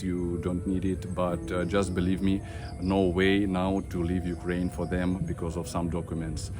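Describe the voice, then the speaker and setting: A man in his thirties with a Russian accent, talking to camera about his family, halting with many fillers and restarts. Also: low-pitched. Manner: halting, many fillers and restarts, simple non-native grammar. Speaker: vlogger. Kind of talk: personal talk to camera.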